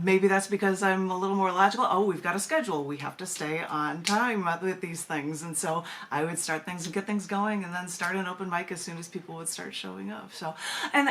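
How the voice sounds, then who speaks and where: A woman's voice: lowish voice, a bit bright. A woman talking as a radio guest over a video call, chatty and given to laughing and restarting sentences. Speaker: poet and magazine editor, guest.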